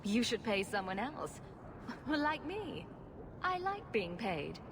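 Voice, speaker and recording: A woman's voice: fairly high pitch, sing-song, slow, noisy background, exaggerated voice. A woman voicing a witty, sarcastic young rogue adventurer in a video game, wry and confident. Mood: playful. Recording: music behind the voice.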